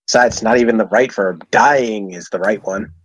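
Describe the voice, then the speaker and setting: A woman with a very low, husky voice trades dry remarks with a group. Her delivery is casual and conversational. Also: moderate pace. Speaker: participant in group word game.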